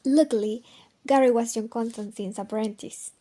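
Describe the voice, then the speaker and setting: Woman as a high-voiced practical sidekick. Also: fairly even intonation, moderate pace, dark tone, natural voice. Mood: matter-of-fact.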